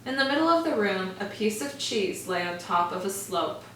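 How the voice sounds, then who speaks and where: A woman teacher reading a picture book aloud to children in a gentle, expressive storytelling manner. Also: medium pitch, moderate pace, slight background noise. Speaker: first grade teacher. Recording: echoey room, far from mic.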